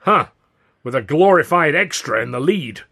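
A man's voice: on the high side, expressive, normal speed, creaky, bright, forceful tone, breathy edge, pitched above the performer's own voice, exaggerated voice. A man voicing a blustering, scornful big theatre promoter in an audiobook, with a bombastic, seething manner. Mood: seething contempt. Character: blustering, vindictive big American theatre promoter, cowboy type.

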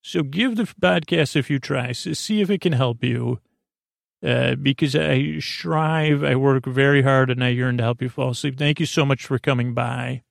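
A man hosting a podcast with a goofy, improvised bedtime monologue, halting and meandering as he repeats himself and trails off mid-word. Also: mid-range voice, creaky, no echo, studio quality. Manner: goofy, silly, self-deprecating. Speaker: podcast host.

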